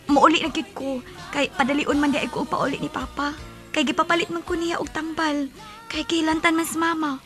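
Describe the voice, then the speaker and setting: A woman acting in a radio drama as a soft-spoken, wistful young woman telling her life story. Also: high voice, flat delivery, brisk, soft background music. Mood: timid, wistful.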